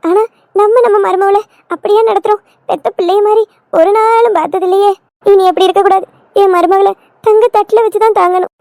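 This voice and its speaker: A woman's voice: very high-pitched, fairly even intonation, a little fast, darkish tone, dry recording, clean studio sound. A woman playing a doting older village mother-in-law in a family skit, motherly and emotional, with a southern Tamil Nadu accent. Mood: remorseful. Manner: motherly, fussing, emotional, coaxing.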